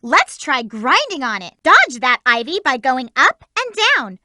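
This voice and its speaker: A woman's voice: very animated, measured pace, pushed and bright, dry recording, studio quality, heavily acted. An actress voicing a peppy, lovestruck young female hedgehog heroine who bosses her teammates around in a video game. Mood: chipper. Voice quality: high, youthful cartoon voice. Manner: perky, cheerful, giving orders to teammates. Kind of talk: game voice clips.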